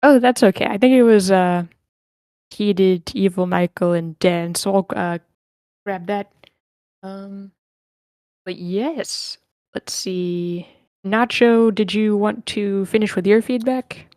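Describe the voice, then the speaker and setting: A woman's voice: mid-range voice, fairly even intonation, slow pace, darkish tone, no room sound. Casual woman host, dry close sound. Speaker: host of an online script reading session. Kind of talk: casual moderating chat. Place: online group meeting.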